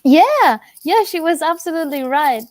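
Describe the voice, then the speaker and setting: A young woman in her twenties from Italy, chatting as a guest in an interview with a relaxed manner and lots of fillers. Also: high-pitched, moderate pace, dry recording. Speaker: guest singer. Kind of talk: casual interview chat.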